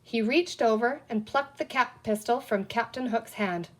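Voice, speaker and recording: A woman reading a children's book aloud as an expressive storyteller. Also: a little high, a little slow. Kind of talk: expressive scripted story narration.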